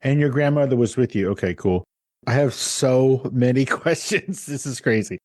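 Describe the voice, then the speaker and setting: A man's voice: mid-range voice, a little mellow, no echo. Podcast host guy, super hyped, gushy, dry close mic. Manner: enthusiastic, gushing, quick asides. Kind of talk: casual podcast interview.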